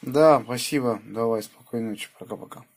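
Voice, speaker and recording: A man with a sore throat from a cold, chatting in a husky, subdued way over FM radio. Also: fairly quick, background noise. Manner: low-key, subdued. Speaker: amateur radio operator. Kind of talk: casual radio chat. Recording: FM two-way radio transmission.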